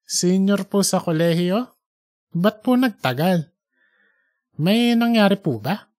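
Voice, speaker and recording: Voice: medium pitch, expressive, takes it slowly, darkish tone. A performer voicing a respectful, inquisitive young male interviewer in an audiobook story. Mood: puzzled. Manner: respectful, questioning. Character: polite, curious young male interviewer, easily baffled. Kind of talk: fictional audiobook character dialogue.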